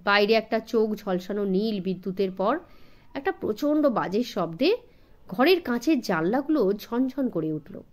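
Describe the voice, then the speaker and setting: A woman's voice: medium pitch, lively intonation, normal speed. A woman narrating an audiobook story expressively, in a dramatic storytelling manner suited to young listeners.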